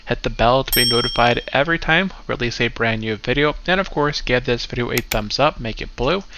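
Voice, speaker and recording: Stuffy, gravelly upbeat finance vlogger man. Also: medium pitch, brisk, sharp tone, a little hiss or hum. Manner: upbeat, keeps saying "go ahead". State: congested, stuffy. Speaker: investing channel host. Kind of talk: casual finance vlog walkthrough.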